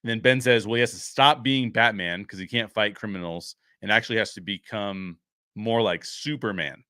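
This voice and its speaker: Man, low pitch, crisp forceful tone, dry close sound, explanatory show host, unscripted review commentary. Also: normal speed, dry recording.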